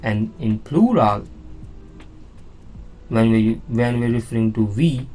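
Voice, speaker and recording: A man's voice: medium pitch, slow, darkish tone, faint music behind. A man teaching a beginner language lesson, explaining slides patiently and repeating words with frequent "now" and "okay?".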